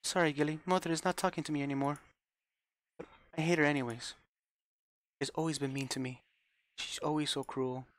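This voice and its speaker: A man's voice: fairly high pitch, steady tone, a little fast, long pauses, slight background noise, pitched above the performer's own voice, natural voice. A man voicing a desperate, unhinged young woman who pleads and stammers through repeated restarts. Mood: clingy. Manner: pleading, sobbing, stumbling restarts.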